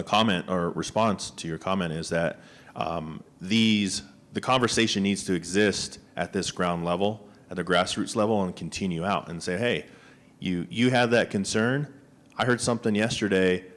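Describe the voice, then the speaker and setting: Guy with a really low voice talking on a business panel, lots of ums and restarts. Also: steady tone, normal speed, a bit bright. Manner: conversational, with many fillers and restarts. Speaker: small business agency official on a panel. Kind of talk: panel discussion remarks. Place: conference room panel.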